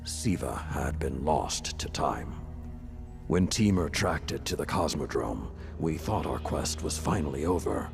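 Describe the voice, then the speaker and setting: An actor playing a grave, world-weary old warrior lord in a video game, telling of things solemnly and deliberately in a gravelly voice. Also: male voice, animated, exaggerated voice. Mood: solemn, regretful. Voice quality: deep, gravelly. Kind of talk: dramatic video game acting. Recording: loud music behind the voice. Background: loud music.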